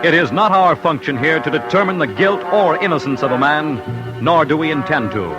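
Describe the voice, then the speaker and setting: A man's voice: fairly high pitch, lively intonation, a little fast. A man with an American accent narrating a true-crime story in dramatic 1940s radio style, grave and emphatic. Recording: old radio broadcast with music bed.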